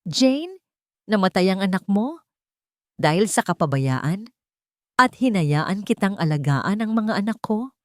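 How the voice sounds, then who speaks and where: A woman's voice: medium pitch, sing-song, unhurried, long pauses, darkish tone, dry recording, studio quality. A woman voicing an anguished, betrayed wealthy man whose voice cracks as he accuses. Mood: shaken, accusing. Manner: broken, accusing, disbelieving.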